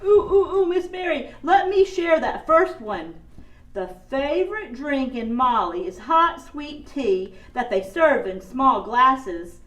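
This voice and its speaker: Woman playing a puppet child, high voice, wide-eyed, excitable, distant echoey room. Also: dull audio, exaggerated voice. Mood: excited. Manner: animated, wide-eyed, slowly spoken. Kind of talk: children's puppet show skit. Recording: echoey room, far from the mic.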